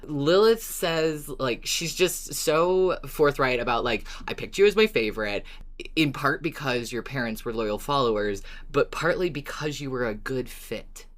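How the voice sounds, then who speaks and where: Deep-voiced woman, enthusiastic podcast host. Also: lively intonation, measured pace. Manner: enthusiastic, emphatic, swears casually. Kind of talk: casual fan discussion of a fiction podcast.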